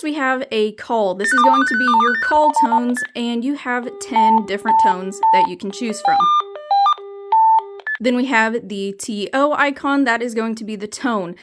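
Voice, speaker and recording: A woman reviewing a product, explaining its features plainly, step by step. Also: a little high. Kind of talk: explanatory product demo narration.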